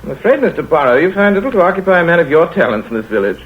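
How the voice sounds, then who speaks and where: An actor playing a level-headed English country doctor who narrates in a measured, calm way in an old radio drama. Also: male voice, a little high. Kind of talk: 1930s radio drama acting. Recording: scratchy tinny old radio broadcast.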